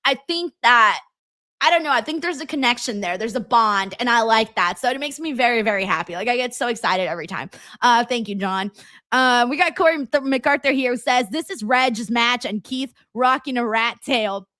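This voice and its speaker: A woman hosting a live stream, chatting casually with her viewers and reading out their comments, with a very high-pitched voice. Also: expressive, moderate pace, pushed and bright, dry recording, studio quality. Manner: chatty, reads viewer comments aloud with filler words. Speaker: streamer and host.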